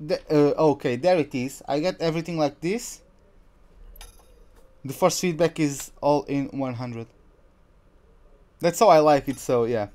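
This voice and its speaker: A man from Portugal, streaming a racing game and chatting excitedly through the action. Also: on the high side, measured pace, pauses often. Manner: chatty, reacts excitedly to the race. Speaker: gaming streamer. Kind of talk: live game commentary.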